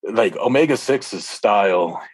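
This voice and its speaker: A man from Hawaii, a rapper being interviewed, telling stories in an informal, halting way that often doubles back. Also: lowish voice, animated, husky, no room sound, low-quality recording. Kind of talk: casual interview storytelling.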